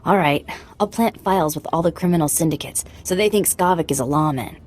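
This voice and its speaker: A woman's voice: on the low side, a little fast, darkish tone, natural voice. A woman playing a wry, sardonic captive computer hacker desperate to get free in a video game. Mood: wry, eager. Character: captive young female slicer, wry and desperate to escape. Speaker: voice actor. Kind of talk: video game dialogue acting.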